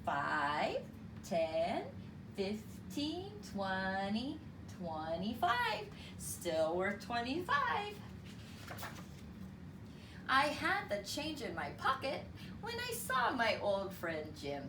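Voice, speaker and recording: Slow, animated woman reading aloud, roomy sound. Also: medium pitch. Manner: animated, deliberate, teacherly delivery for children. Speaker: children's story reader and math teacher. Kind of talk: expressive read-aloud storytelling with counting lesson. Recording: roomy, distant mic with clear background noise.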